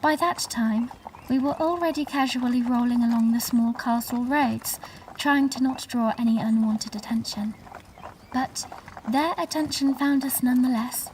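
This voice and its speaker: Woman playing a high-voiced young storytelling princess. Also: darkish tone, a little hiss or hum, close to an everyday voice, poor audio. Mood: wistful, reflective. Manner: measured, storytelling. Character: gentle young princess recounting her memories, wistful. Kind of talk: scripted first-person audio drama narration.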